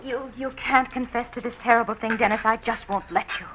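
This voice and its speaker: A woman's voice: fairly high pitch, steady tone, brisk, noisy background, natural voice. An actress playing an anxious, secretive young woman in love, who talks in a breathless, stammering way in 1940s radio acting style. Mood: anxious, pleading.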